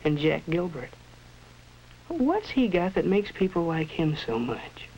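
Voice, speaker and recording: Brooding, self-doubting American high school newcomer, higher register, 1940s educational film, faint hiss. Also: male voice, big pitch swings, measured pace. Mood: pondering, hopeful. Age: teenager. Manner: earnest, brooding, talking to himself. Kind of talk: 1940s educational film acting, with inner-monologue narration. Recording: noisy restored 1940s film soundtrack.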